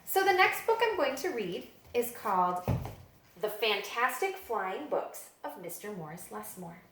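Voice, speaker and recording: A woman reading a picture book aloud to children as a librarian, in expressive, deliberate storytelling narration with drawn-out emphasis. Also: mid-range voice, roomy sound, slight background noise.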